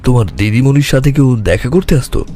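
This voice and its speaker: A man playing a calm, observant detective in an audio drama, questioning in a measured, deliberate way. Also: medium pitch, soft background music, natural voice. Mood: probing. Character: calm, probing male detective, sharply observant.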